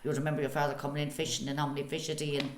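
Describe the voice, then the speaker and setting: A woman with an Irish accent from County Cork chats casually and very fast. Her pitch is a little low, her delivery emphatic and repetitive, and the room echoes noticeably. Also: little pitch movement, a little hiss or hum. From Youghal, Ireland. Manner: emphatic, repetitive, tags phrases with "you know". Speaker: amateur radio drama cast member. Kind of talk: casual interview chat.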